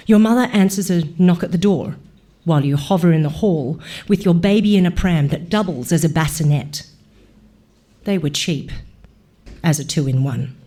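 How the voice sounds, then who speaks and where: An Australian woman, an actor reading a literary short story aloud with measured, deliberate phrasing. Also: on the low side, mellow.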